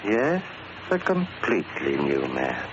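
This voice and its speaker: Through heavy hiss on an old radio recording comes a male manservant, deep-voiced and widely inflected. He is deferential and patient, with formal, bookish diction and quiet menace, in classic 1940s radio drama style. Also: wildly swooping pitch, takes it slowly, lo-fi sound, heavily acted. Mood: quietly menacing.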